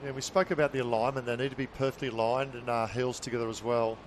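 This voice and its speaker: Male play-by-play caller, excitable, mid-pitched voice, faint street noise behind. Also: fast. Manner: excitable, calls the action in bursts. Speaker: play-by-play commentator. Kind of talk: live sports commentary.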